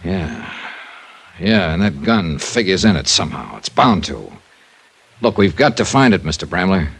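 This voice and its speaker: A man playing a sharp, skeptical insurance investigator in a 1950s radio detective drama, clipped, wry and pressing in both dialogue and narration. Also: low-pitched, very animated, normal speed, creaky, breathy edge, heavily acted. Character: sharp, persistent male insurance investigator, hard-boiled and skeptical.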